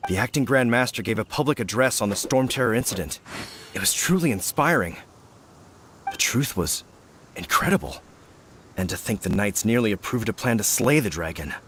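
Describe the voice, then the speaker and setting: A man's voice: on the low side, lively intonation, moderate pace, a bit bright, slight background noise. An actor playing an earnest, formal male knight in a game. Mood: solemn. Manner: formal, solemn.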